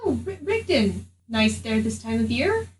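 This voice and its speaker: A woman's voice: on the high side, very animated, unhurried, far from the mic. A woman playing a tabletop role-playing game, bantering casually in a wry, blunt way. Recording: echoey room, off mic, background noise.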